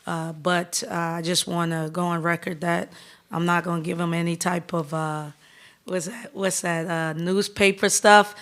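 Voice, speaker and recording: Woman coach, 30s, lowish voice, upbeat and candid at a press conference. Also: flat delivery, a bit bright. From Bahamas. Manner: upbeat, candid, talks in quick restarting bursts. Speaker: head basketball coach.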